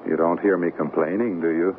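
An actor playing a wry, mildly sheepish newlywed husband in an old-time radio comedy, bantering. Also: male voice, sits low, fairly even intonation, a little fast, phone-quality sound. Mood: affectionate teasing. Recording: thin, hissy vintage radio recording.

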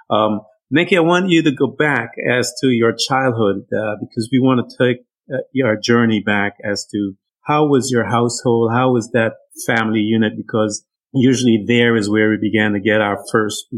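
A man with a somewhat low voice hosts an interview in a relaxed, casual manner. He talks loosely, with fillers and friendly asides. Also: moderate pace, low-quality recording. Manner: laid-back, calls guest "man".

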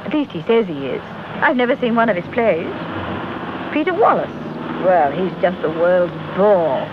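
An actress playing a sleuth's inquisitive, wry, well-bred English wife with a Received Pronunciation accent in a radio drama. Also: female voice, on the low side, big pitch swings, normal speed. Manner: wry, questioning. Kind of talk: British radio drama acting. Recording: dull vintage radio, noisy vehicle ambience.

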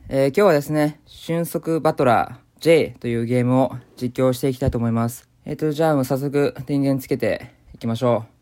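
A man giving improvised deadpan commentary as he plays a video game. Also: mid-range voice, a little fast, hardly any pauses. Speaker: gamer doing commentary. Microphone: voice memo recording.

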